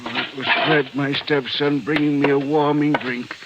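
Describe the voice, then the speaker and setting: An actor playing a guilt-ridden old railway man in an old-time radio mystery, halting and brooding. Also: medium pitch, steady tone, lightly acted. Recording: dull, noisy vintage radio recording.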